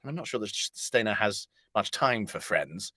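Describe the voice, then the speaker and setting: Male interview guest, slightly low voice, dry close sound, hesitant and jovial, casual storytelling. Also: animated, moderate pace, sharp tone, no echo.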